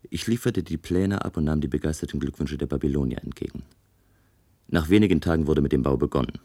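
A weary tower builder tells his own story in a radio play. His voice is extremely low, measured and sober, in a very dry studio sound. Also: dark tone, breathy edge, dry recording, exaggerated voice. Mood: sober, reflective. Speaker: narrator and lead actor. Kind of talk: radio play first-person narration.

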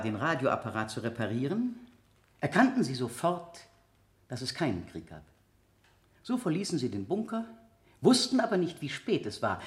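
A man's voice: medium pitch, roomy sound. A man acting a relentless, scornful public prosecutor in a 1970 German radio drama, with theatrical, insistent rhetoric. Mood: probing, ironic. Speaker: actor in a radio play.

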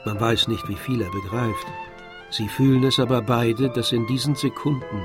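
A man narrating a literary radio drama from a script in a measured storytelling manner. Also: medium pitch. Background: faint music.

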